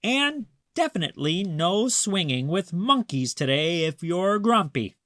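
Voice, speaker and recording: Playful storybook grandma, as a reader puts her on, pitched up. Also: sing-song, measured pace, a bit bright, dry recording. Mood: mock-serious teasing. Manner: mock-serious, coaxing. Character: playful, gently teasing grandmother in a children's storybook.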